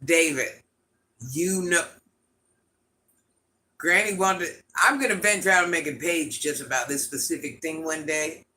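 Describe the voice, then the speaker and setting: A man with an itchy, scratchy throat, hosting a livestream in a grandmother persona and chatting through viewer comments with quick laughs and asides. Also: on the high side, pushed and bright. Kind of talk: casual live chat answering viewer comments.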